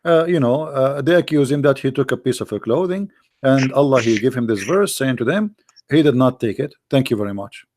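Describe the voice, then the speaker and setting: A man hosting a talk show, mocking and sarcastic, going hoarse as he loses his voice. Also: medium pitch, animated, fairly quick, darkish tone. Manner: mocking, sarcastic, with a non-native grammar. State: losing his voice. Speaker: host.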